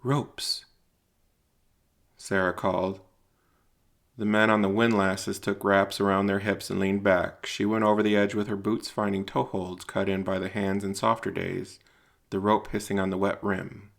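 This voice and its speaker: A man narrating scripted dramatic fiction in a solemn, deliberate storytelling manner. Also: on the low side, level tone, creaky.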